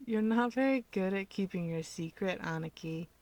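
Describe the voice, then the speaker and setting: A woman voicing a sleepy, blunt teenage boy in a story reading, slurring and mumbling in a deadpan way. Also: mid-range voice, moderate pace, no echo, natural voice. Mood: deadpan, needling.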